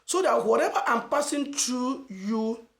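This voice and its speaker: A Nigerian man giving an emphatic motivational message as a channel host, asking rhetorical questions and stressing phrases slowly. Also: very high-pitched, expressive, a bit bright, roomy sound. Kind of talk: motivational preaching to camera.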